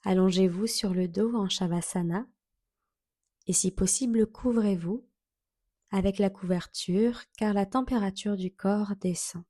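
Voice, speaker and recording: A woman leading a guided yoga relaxation as an instructor, giving soothing, measured instructions with long pauses. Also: mid-range voice, warm tone, no room sound, clean studio sound.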